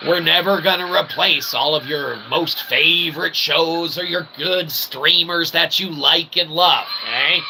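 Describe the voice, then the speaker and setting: A man's voice: fairly high pitch, a little slow, gravelly, few pauses, pushed and bright, a little hiss or hum, low-quality recording. A man hosting a web show in a rambling, casual, self-deprecating monologue, folksy and full of tag questions.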